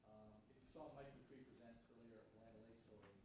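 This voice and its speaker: A man giving a chatty, loud conference talk as a pricing consultant, with frequent restarts. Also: on the low side, fairly even intonation. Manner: loud, chatty, talks quickly with many restarts. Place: conference room with audience. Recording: echoey hall, distant mic, background noise.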